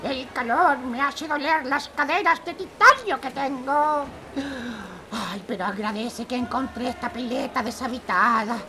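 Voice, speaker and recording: A woman's voice: high-pitched, a little slow, voice pushed up. A woman voicing a grumbling elderly retiree with aches, whiny and complaining, in a comic radio play with noisy sound. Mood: whining, overheated. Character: grumbling, sweaty elderly retiree with aching hips.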